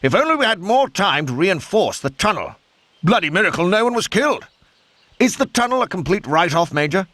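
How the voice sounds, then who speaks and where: An actor playing a genial British senior officer in a video game, with a British accent and hearty, old-fashioned military politeness. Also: male voice, sits high, sing-song, fairly quick, a bit bright, no room sound. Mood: dismayed. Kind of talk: video game cutscene acting.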